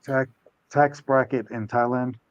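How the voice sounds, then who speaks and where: A man's voice: mid-range voice, fairly even intonation, a little slow, no echo. Older male host, casual, rambling and hedging, dull dry recording. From United States. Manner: rambling, hedging, self-correcting. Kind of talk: casual live stream talk.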